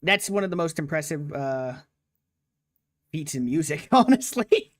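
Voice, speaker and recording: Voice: mid-range voice, big pitch swings, unhurried, dry recording. A livestream host chatting casually with a guest, playful and teasing, laughing often. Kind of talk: casual stream chat with a guest.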